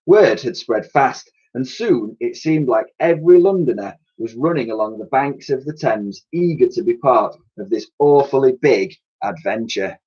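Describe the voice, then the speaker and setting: A man's voice: medium pitch, animated, normal speed, few pauses, darkish tone, husky. A man reading a children's story aloud with expression, on a dull home recording. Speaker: story reader.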